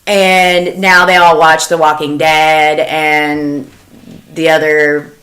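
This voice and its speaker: A woman's voice: sits low, little pitch movement, slow, a bit bright, rough recording. Casual, self-deprecating woman in her twenties. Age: 20s. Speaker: podcast co-host. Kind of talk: casual podcast chat.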